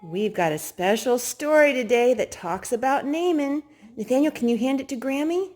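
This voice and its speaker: A woman's voice: high voice, lively intonation, moderate pace, a little mellow. A woman co-hosting a children's storytime, chatting as she introduces a story.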